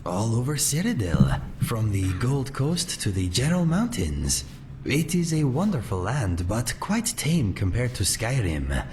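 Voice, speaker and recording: A man playing a gentle, courteous cat-folk sellsword in a fantasy game, speaking in measured, formal phrases without contractions. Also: medium pitch, huge pitch swings, a little hiss or hum. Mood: fond, reminiscing. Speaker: companion character in a fantasy video game. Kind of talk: scripted game dialogue. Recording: faint music under the voice.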